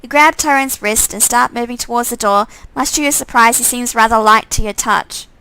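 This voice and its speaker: Lady reading story game narration out loud, bright voice, really dry close sound, trips on words sometimes. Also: a little high, brisk, clean tone, no room sound, rough recording. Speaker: streamer reading a visual novel aloud. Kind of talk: live read-aloud narration with asides.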